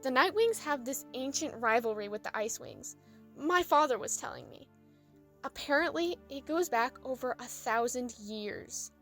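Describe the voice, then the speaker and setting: A woman's voice: sits high, expressive, moderate pace, a bit bright, faint music behind. A woman playing a small, cheery, idealistic young female dragon in an audio drama, perky and earnest.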